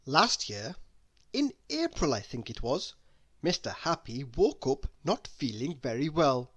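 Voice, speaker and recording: A woman reading a children's story aloud in an expressive narrating style. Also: extremely low pitch, unhurried, husky. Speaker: storybook reader.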